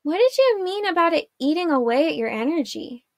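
A woman's voice: high-pitched, big pitch swings, brisk, dry recording, clean studio sound, voice pushed up. A woman voicing a teenage girl in an audiobook, earnest and anxious, asking question after question.

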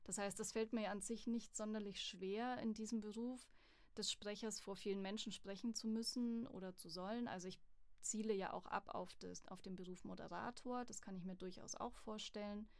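A woman, a voice acting student, talking thoughtfully in a casual interview and often pausing with fillers. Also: mid-range voice, fairly even intonation, no echo. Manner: thoughtful, many hesitation fillers.

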